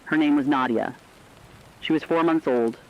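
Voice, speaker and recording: A man narrating scripted human stories for a radio broadcast in a solemn, plain-spoken, deliberate manner. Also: on the high side, muffled recording.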